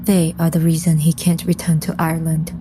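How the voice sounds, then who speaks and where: A woman's voice: deep voice, completely flat delivery, fairly quick, background noise, lightly acted. A woman playing a composed, serious undead mission leader who narrates her own story in an audio drama. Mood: grave, composed. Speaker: lead character and first-person narrator. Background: music.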